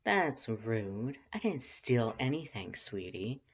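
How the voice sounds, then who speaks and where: A flirtatious, playful male skeleton put on by a story reader, purring and teasing like a smooth talker. The voice belongs to a fan-fiction audio story and sounds slightly muffled from a lo-fi recording. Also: medium pitch, sing-song, slow, full character voice. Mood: teasing, flirty.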